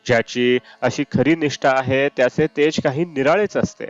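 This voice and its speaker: A man reads a spiritual discourse aloud in a measured, deliberate way. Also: mid-range voice, fairly even intonation, no room sound, lo-fi sound. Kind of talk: read-aloud devotional discourse. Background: Indian classical raga music with sitar.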